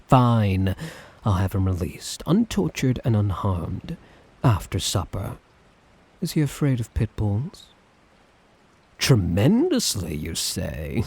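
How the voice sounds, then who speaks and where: Guy doing a smug obsessive dictator for asmr roleplay, dark husky voice, swoops around a lot, drawls condescending sweet talk. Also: lowish voice, full character voice. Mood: smug, teasing. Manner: theatrical, drawling, condescending endearments. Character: smug, obsessive male tyrant dictator, menacingly affectionate. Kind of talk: ASMR roleplay, dramatic monologue to listener.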